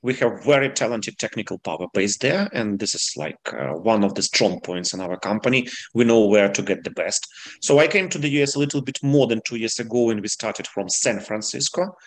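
Ukrainian-accented startup founder, earnest. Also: male voice, lowish voice, expressive. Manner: earnest, halting non-native phrasing. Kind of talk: interview answers.

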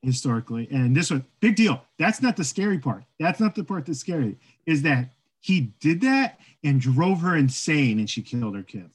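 A man's voice: fairly high pitch, very animated, moderate pace, husky. A man co-hosting a podcast, talking casually about folklore and history in an informal way, often checking in with "right?". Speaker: podcast co-host.

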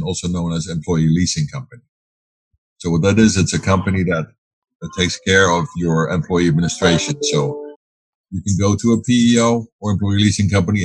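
A man's voice: sits low, husky, no echo, low-quality recording. A middle-aged man whose first language is Dutch, answering interview questions in a rambling way, with many fillers and restarts. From Netherlands. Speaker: guest, business owner.

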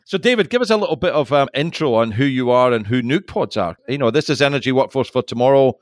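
A man of medium pitch hosts a podcast and keeps the chat light and teasing. His voice is close and dry. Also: animated, fast, dry recording. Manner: chatty, teasing banter. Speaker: podcast host. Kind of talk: casual podcast hosting.

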